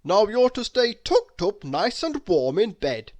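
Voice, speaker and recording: A grave cartoon doctor played by a woman reader, handing out firm orders. The storybook delivery is emphatic, with animated pitch. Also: a little slow. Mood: firm concern. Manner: firm, instructing. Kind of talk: children's storybook character voice.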